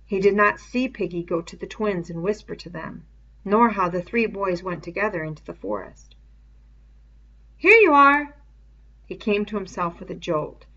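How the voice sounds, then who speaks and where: A woman's voice: lowish voice, normal speed. A woman reading a novel aloud as scripted narration, on a dull lo-fi recording. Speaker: audiobook reader.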